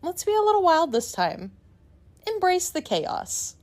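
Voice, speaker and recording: A woman with a high, very animated voice delivers a listener's voice message. It sounds prepared yet conversational, upbeat and playful. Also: unhurried.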